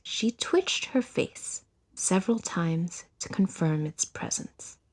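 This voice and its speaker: Woman reading a story for an audio drama, slow pace, husky breathy voice. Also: on the low side, lively intonation, a little mellow. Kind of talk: scripted story narration.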